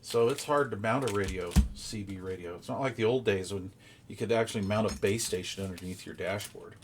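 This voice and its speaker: A man's voice: on the low side, a little fast, faint music behind. A man giving a casual, hands-on unboxing commentary, explaining details informally and thinking aloud as he goes. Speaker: product reviewer.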